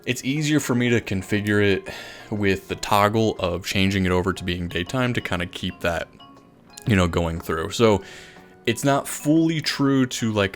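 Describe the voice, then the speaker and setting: A man doing casual, enthusiastic gaming commentary in an informal, rambling way. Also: sits low. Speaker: gaming video host. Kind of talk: casual Minecraft time-lapse commentary. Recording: voice over background music. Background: music.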